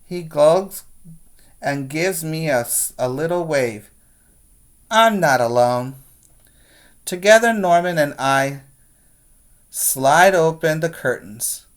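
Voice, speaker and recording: A man's voice: on the high side, animated, slow pace, long pauses. A man teaching preschoolers, speaking in an instructive, question-and-answer way as he reads aloud and guides young children.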